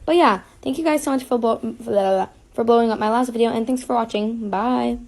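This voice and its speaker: Mellow, rambling young woman chatting casually. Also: a little high, a little fast. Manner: rambling, self-interrupting. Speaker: cleaning video creator. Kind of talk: casual unscripted voice-over chat.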